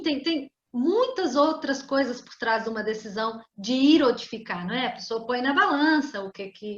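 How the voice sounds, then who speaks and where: A middle-aged woman, a psychologist, explaining calmly in an interview and often closing her points with a questioning tag. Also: high-pitched. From Brazil. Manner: measured, often ends points with a questioning "não é?". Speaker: psychologist guest. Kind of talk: calm explanatory interview talk. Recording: dull roomy sound.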